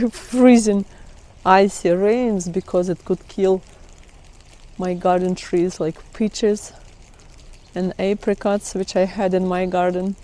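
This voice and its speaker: Middle-aged female vlogger with a Russian accent, relaxed and mellow, casual chatty delivery. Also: mid-range voice. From Kazakhstan. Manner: chatty, laughs often, non-native phrasing. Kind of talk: casual vlog chat. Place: outdoors by trees in melting ice.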